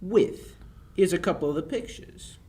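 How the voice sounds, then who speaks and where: A young man playing a smooth-talking crook in a 1940s radio play, breezy and sardonic with menace underneath. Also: high-pitched, animated, measured pace, warm tone. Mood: cocky. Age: young adult.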